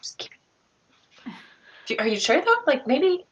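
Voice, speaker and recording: A woman playing an anxious, indecisive adventurer in a tabletop game, rambling in a hushed, hesitant voice. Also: sits high, big pitch swings, moderate pace, muffled recording, a little hiss or hum, pitched above the performer's own voice. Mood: nervous, hushed.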